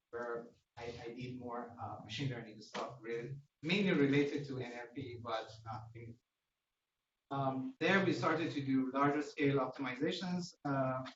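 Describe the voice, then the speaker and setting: A male researcher with an Iranian accent delivers an academic lecture in a halting, hesitant way. His voice is of middling pitch. It is picked up at a distance in an echoey seminar room, with faint noise. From Iran. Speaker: researcher giving a seminar talk. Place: indoor seminar room at a lectern. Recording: echoey room, distant mic, dull noisy feed.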